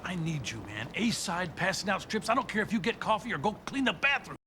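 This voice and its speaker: An actor playing a gruff, bossy supervisor rallying a crew in a film. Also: male voice, sits high, very animated, fairly quick, pushed and bright, a little hiss or hum, full character voice. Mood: exasperated. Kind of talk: naturalistic film acting.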